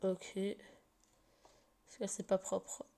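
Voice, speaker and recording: A woman's voice: very slow, dark tone. Hesitant woman with a slight cold. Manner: hesitant, full of fillers and restarts. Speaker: game tutorial maker. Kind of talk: casual screen-recorded game tutorial.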